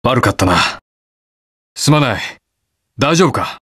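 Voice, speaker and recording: An actor playing a stubborn, protective young masked hero in a video game, speaking curtly and brusquely. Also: male voice, mid-range voice, animated, unhurried, fairly bright tone, no echo, clean studio sound. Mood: grumbling, casual. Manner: curt, brusque, rough casual speech. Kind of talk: video game voice lines, battle quips.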